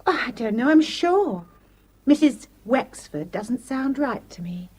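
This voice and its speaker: An actress playing Julie, an impertinent young housemaid in a radio drama. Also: female voice, sits high, sing-song, unhurried, a little mellow, slightly rough, exaggerated voice. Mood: pert. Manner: pert, impertinent.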